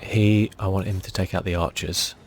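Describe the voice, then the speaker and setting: A man giving soft-spoken ASMR commentary as he plays a game, thinking aloud in a relaxed way. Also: deep voice, normal speed, soft background music. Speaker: gaming video host.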